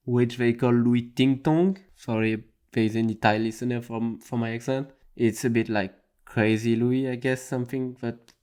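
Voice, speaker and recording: A man's voice: mid-range voice, darkish tone. Chatty man musing on tech news. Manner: loose, conversational, frequent "I mean" and restarts. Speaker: host. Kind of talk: casual tech news commentary.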